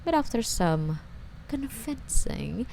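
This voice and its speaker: A woman playing an obsessive, sweetly menacing female stalker in an ASMR roleplay, coy and breathy, often slipping toward a whisper as she coddles her captive. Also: fairly high pitch, very animated, slow pace, warm tone, slight background noise, exaggerated voice. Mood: smug.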